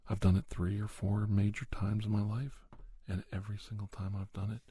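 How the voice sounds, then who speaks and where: A middle-aged man giving soft-spoken, encouraging, folksy advice in an ASMR role-play, often slipping into a whisper. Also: fairly low pitch, completely flat delivery, fairly quick, breathy edge. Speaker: podcast host playing an advice-giving doctor. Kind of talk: ASMR, one-sided role-play conversation.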